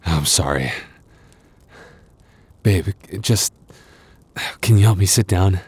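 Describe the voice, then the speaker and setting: A man acts out a werewolf boyfriend in an intimate audio roleplay, hurt and worn out. His voice is very low and he speaks slowly, in halting phrases broken by sighs. He stays tender and reassuring with his partner. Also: animated, darkish tone, husky, no room sound, full character voice. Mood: pained, apologetic. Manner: halting, sighing, intimate delivery.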